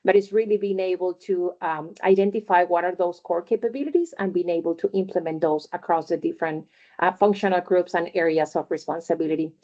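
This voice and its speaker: A woman's voice: on the low side, brisk, mellow, lo-fi sound. A middle-aged woman gives a conversational career talk on a video call, with many fillers and "right?" tags. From Venezuela. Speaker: webinar panelist.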